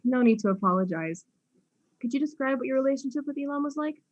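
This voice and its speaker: A woman's voice: high-pitched, expressive, quick pace, highs cut off. A woman playing a polite, probing detective who questions suspects in a mystery drama. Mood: polite, inquisitive. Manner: courteous, inquisitive. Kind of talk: acted mystery audio drama.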